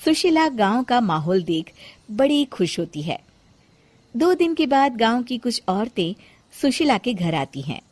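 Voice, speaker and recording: A woman narrating a scripted cartoon moral story in an expressive storyteller's style. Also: a little high, unhurried, no echo.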